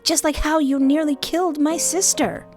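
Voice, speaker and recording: A woman voicing a fierce, angry young woman with magic in a fantasy audio story, speaking in a demanding, sharp-tongued way. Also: sits high, very animated, unhurried, over music, pitched above the performer's own voice.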